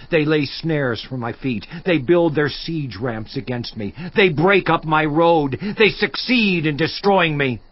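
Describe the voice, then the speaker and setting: An actor playing a suffering, once-honored biblical patriarch in a dramatized scripture monologue, theatrical and declamatory with long pauses. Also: male voice, fairly high pitch, no room sound, highs cut off. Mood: anguished lament. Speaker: actor in a dramatized audio Bible.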